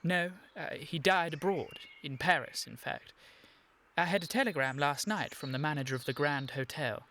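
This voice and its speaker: An actor playing an earnest, evasive young English gentleman in a radio comedy, formal and slightly flustered. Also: a little high, normal speed, a little hiss or hum. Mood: mock solemn. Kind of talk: period stage comedy radio acting.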